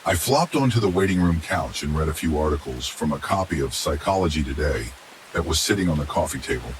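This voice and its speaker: A man's voice: rumbling low, fast. A man reading a scripted first-person horror story as its narrator. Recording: steady hiss behind the voice.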